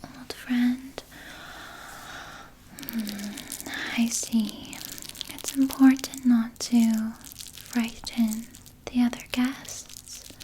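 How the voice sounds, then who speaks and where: A woman plays a doting yet sinister female vampire nurse in a whispered ASMR roleplay. Her breathy whisper is unhurried and stays very even, soothing and coy, with murmured asides. A faint noise sits behind the voice. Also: on the high side, poor audio. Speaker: ASMR performer.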